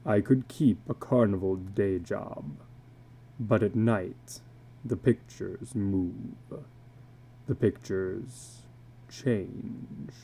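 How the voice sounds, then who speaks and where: Man voicing a deep, brooding, weary old carnival man. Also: drawn-out words, dark tone, a little hiss or hum. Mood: ominous. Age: older. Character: weary, bitter tattooed carnival man, older, haunted. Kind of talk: dramatic reading of a short story.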